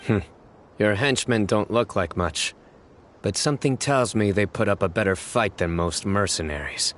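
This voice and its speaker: An actor playing a stern, guarded young man in a game, speaking in a curt, dry, quietly threatening way. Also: fairly low pitch, moderate pace, a little hiss or hum, natural voice. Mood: wary. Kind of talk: game cutscene voice acting.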